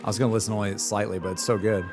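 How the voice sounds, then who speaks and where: A man chatting casually as a streamer reacting to music, with an excitable, slangy, rambling way of talking. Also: lowish voice, racing. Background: music playing behind the voice.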